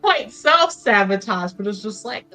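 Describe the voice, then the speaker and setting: A woman's voice: mid-range voice, animated, a little slow, sharp tone. A woman player narrating her roleplaying character casually, in an informal, rambling, sweary way. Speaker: player in a tabletop roleplaying game.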